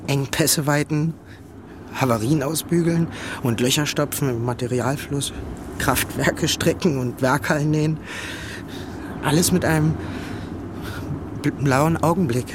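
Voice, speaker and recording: Clear background noise surrounds a man's slightly high voice. An actor plays a weary building-site worker with a sardonic edge. The delivery is that of a German radio play. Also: a little slow, long pauses, close to an everyday voice. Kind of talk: German radio drama acting.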